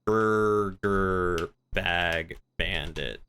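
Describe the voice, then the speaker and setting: A man with a fairly low, bright and forceful voice talks casually on a game livestream. He muses aloud and mutters to himself between replies to his chat. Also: extremely slow, smooth. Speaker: game streamer.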